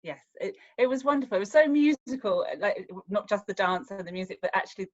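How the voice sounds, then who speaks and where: A woman hosting an online filmmaker Q&A, asking gentle, appreciative questions. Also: medium pitch, lively intonation, fast talker. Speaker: interviewer host. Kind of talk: online Q&A interview. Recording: dull lo-fi sound.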